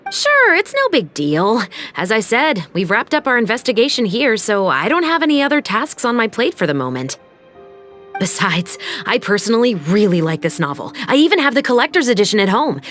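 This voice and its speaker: A woman's voice: mid-range voice, big pitch swings, brisk, a bit bright, soft background music. A woman playing a firm, no-nonsense young patrol captain in a video game. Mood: businesslike, agreeable. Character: stern, assured young female patrol captain, justice-minded. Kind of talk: video game cutscene acting.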